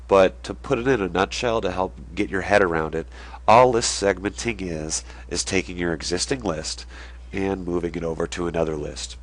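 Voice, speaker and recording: Young male host, deep low voice, congested from a cold, casual explainer delivery. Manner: conversational, drawing on a board while explaining. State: stuffy nose from a cold. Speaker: online marketing video host.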